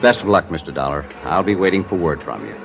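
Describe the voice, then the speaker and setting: Low, hesitant, worried businessman. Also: big pitch swings, quick pace, gravelly, over music, heavily acted. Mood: troubled. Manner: hesitant, earnest, formal. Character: worried, hesitant businessman, senior investment partner. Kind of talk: 1950s radio drama acting. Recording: muffled vintage radio recording, background noise.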